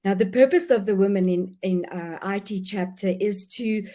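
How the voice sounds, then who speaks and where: A woman's voice: mid-range voice, moderate pace. Effusive woman hosting a webinar. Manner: warm, effusive, many restarts. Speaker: webinar host. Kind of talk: event introduction.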